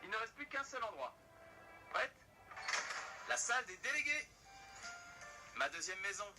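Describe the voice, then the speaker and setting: An actor playing a polite, composed teenage student delegate in a cartoon, speaking in a courteous, measured way. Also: male voice, sits high, sing-song, heavily acted. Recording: music behind the voice.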